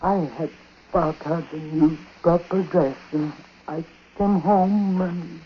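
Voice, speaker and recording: Dying old uncle, bitter and superstitious, very slow grave delivery in broken phrases, vintage radio drama, muffled sound. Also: male voice, on the high side, steady tone. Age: older. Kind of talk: 1940s radio acting. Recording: noisy, muffled 1940s radio recording.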